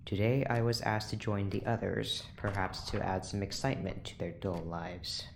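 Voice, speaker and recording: An actor playing a weary, irritable scientist in charge, curt and sarcastic, in an audio drama. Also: male voice, on the low side, moderate pace, vocal fry, a little hiss or hum. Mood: weary, exasperated. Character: weary, irritable scientist boss running secret experiments.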